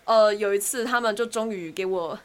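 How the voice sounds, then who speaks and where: A woman with a Taiwanese accent chatting casually and telling stories in an expressive, exclamatory way. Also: medium pitch, normal speed. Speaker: podcast panelist. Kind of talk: casual animated chat about fan experiences.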